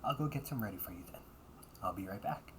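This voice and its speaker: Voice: low-pitched, animated, quick pace. Flustered delinquent butler-cafe waiter over background noise, acted out. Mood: formally polite. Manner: stiffly formal service politeness, slipping into muttered threats. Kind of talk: amateur audio roleplay.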